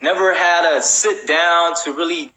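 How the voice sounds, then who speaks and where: Bright, punchy-voiced man hosting casually. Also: on the high side, fairly even intonation, unhurried, low-quality recording. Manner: informal, rambling, slangy with repeated check-ins. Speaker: host. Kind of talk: casual chat.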